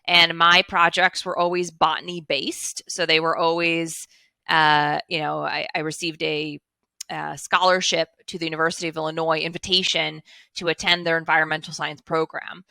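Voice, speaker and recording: A woman's voice: deep voice, flat delivery, moderate pace, creaky, sharp tone, no echo. A woman, telling her story as a guest over a headset video call, earnest and fluent with frequent fillers. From Chicago, USA. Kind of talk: casual interview storytelling. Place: home office with blinds, indoors.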